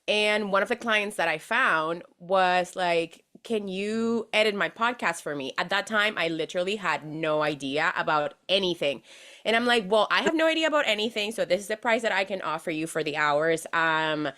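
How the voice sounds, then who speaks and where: A young woman from Venezuela, a podcast manager, chatting as a guest in an animated way with fillers and restarts. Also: on the low side, smooth. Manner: animated, laughs often, many fillers and restarts. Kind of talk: casual interview chat.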